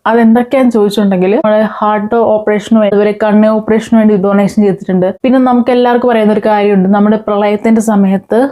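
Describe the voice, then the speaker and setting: A woman with a Kerala accent speaks as an online commentary host, in a mellow, soft-edged voice at a medium pitch. Her delivery is informal and conversational, with hesitant pauses as she addresses her viewers. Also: steady tone. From Kerala, India.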